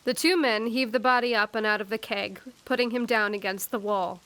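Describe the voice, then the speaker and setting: A woman's voice: fairly high pitch, fairly quick, a bit bright. A woman narrating an audio drama, scripted storytelling broken by informal asides.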